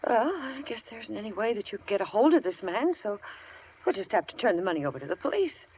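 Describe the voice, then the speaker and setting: An American actress playing a sharp, sensible, suspicious wife in an old-time radio drama, scolding in a no-nonsense way. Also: female voice, medium pitch, big pitch swings, fast, husky. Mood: firm, disapproving. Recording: muffled vintage radio recording.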